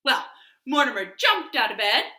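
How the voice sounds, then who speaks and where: Animated lady storyteller for little kids, bright voice, echoey room. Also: sits high. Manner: animated, expressive, dramatic pauses. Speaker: library storyteller. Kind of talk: interactive children's storytelling. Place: living room. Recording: roomy, echoey home recording.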